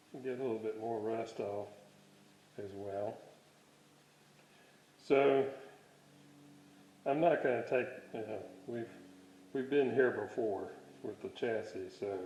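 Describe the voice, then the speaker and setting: A man giving a casual hands-on workshop demonstration, halting and thinking aloud as he works. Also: on the low side, a little mellow, a little hiss or hum. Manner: musing aloud, halting, thinking while working. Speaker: hobbyist presenter of a restoration video series. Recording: dull, roomy home workshop recording.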